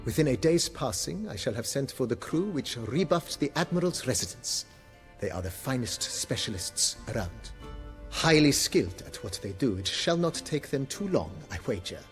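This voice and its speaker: A man's voice: medium pitch, sing-song, moderate pace, soft background music. An actor playing a courteous, deferential male estate majordomo in a video game, with formal, ornate, servile phrasing. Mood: eager, obliging. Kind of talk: video game cutscene acting.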